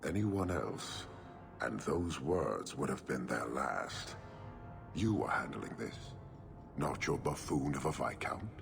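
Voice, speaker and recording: A man's voice: lively intonation, takes it slowly, music in the background, exaggerated voice. A man playing a stern, contemptuous giant warrior leader in a video game, speaking formally and tersely with menace. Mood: menacing. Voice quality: very deep, gravelly. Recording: clearly noisy ambient background.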